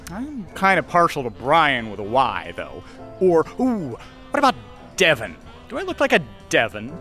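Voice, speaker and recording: A man's voice: bright, forceful tone, exaggerated voice. A man playing an uptight nerdy boyfriend. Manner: awkward, eager, swoops in pitch. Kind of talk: audio drama acting.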